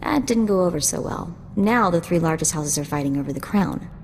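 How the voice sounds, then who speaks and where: A woman's voice: lowish voice, lively intonation, brisk, creaky, long reverb tail, a little hiss or hum. A woman playing a confident, casual young sidekick in a video game, explaining things breezily. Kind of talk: video game cutscene acting.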